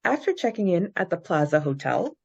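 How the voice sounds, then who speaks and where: A woman's voice: on the low side, very animated, fairly quick, gravelly, husky, highs cut off. A young adult woman co-hosting a fan podcast in a casual, chatty style. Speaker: podcast co-host.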